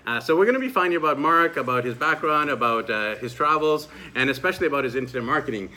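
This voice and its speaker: A man with a very bright, forceful voice, pitched a little high, hosts an interview show. He is chatty and enthusiastic, welcoming and prompting his guest in an upbeat presenter style. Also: animated, quick pace.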